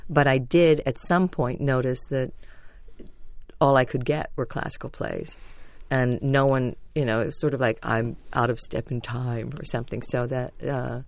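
A stage actress with a low voice, telling chatty, self-deprecating stories as a radio interview guest. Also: female voice, steady tone, normal speed, a little mellow, no echo.

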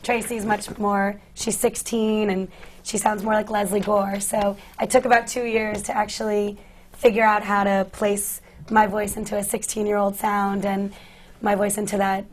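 A woman speaking as an actress on a panel, with a low, husky, raspy voice. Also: flat delivery, normal speed, slight background noise, poor audio. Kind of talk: panel discussion.

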